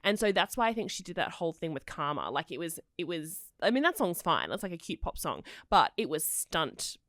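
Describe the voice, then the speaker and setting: Woman, lowish voice, chatty gossip podcast host, quick asides, dry studio mic. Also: big pitch swings, fairly bright tone, dry recording. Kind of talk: casual gossip chat.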